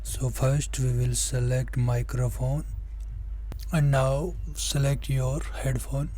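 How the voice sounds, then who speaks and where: Slow, even-voiced man giving a tutorial. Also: mid-range voice. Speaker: software demonstrator. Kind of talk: step-by-step tutorial narration.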